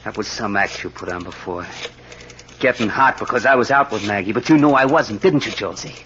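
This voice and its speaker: Menacing radio gangster, sardonic slangy sneer, forceful voice, dull noisy old recording. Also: male voice, fairly low pitch, fairly quick. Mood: bitter, accusing. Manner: tough-talking slangy sneer. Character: menacing, sardonic male racketeer taking over as boss. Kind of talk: old-time radio crime drama acting.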